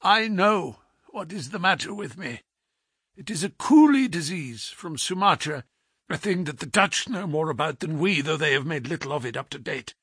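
Dying detective, croaky and imperious, lively swings, voiced by a man. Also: on the high side, normal speed, no echo, clean studio sound, pitched above the performer's own voice, heavily acted. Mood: feeble. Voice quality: croaking, feeble. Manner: sharp, commanding. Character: gravely ill male detective, imperious and feverish.

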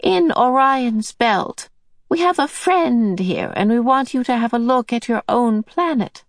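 A woman's voice: a little high, lively intonation, no room sound, studio quality, pitched above the performer's own voice. A woman voicing a cheerful, motherly old otherworldly female being who soothes and fusses. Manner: bright and soothing, brisk.

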